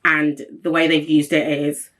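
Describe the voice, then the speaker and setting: A woman, hosting a solo vlog. She has a strong, deep voice and talks bluntly and emphatically, with a straight-talking manner. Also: flat delivery, measured pace, fairly bright tone. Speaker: host. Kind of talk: solo opinion vlog talk.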